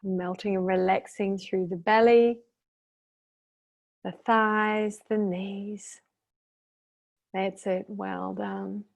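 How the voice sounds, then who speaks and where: Female meditation guide: slow, soothing, gentle, mid-pitched, leaves long pauses between brief calming instructions. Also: creaky. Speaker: guided meditation teacher and intuitive therapist.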